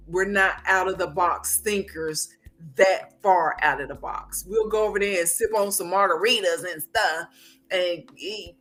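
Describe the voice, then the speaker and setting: A woman hosting an interview show, talking slowly and thinking aloud with pauses and fillers. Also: on the low side, fairly bright tone. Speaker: host. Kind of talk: interview podcast.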